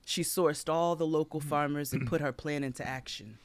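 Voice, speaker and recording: A man's voice: fairly high pitch, steady tone, moderate pace, no room sound. A man co-hosting a podcast, telling a made-up history tale in a mock-solemn comic way. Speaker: podcast co-host. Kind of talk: comic tall-tale storytelling.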